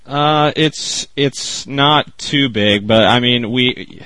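A man's voice: medium pitch, takes it slowly, pushed and bright, no room sound, rough recording. A man from the US Northwest co-hosting a podcast in a casual, laid-back way, laughing often. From Spokane area, US Northwest. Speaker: podcast co-host.

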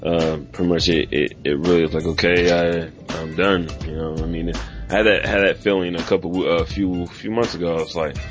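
A man's voice: low-pitched, fairly even intonation, fairly quick. A man hosting a podcast in casual chat, with laid-back slang and rambling talk. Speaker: podcast host. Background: music.

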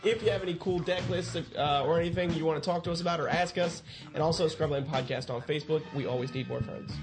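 A man's voice: on the high side, fast talker, over music. A man on a casual podcast giving out the show's contact details in an upbeat way. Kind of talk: casual chat.

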